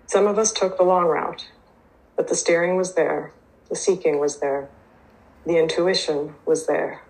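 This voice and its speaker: Low-voiced woman, native Hebrew speaker. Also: a little mellow, low-quality recording. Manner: hesitant, many fillers and restarts. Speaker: twelve-step program member sharing. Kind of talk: reading aloud, then personal recovery share.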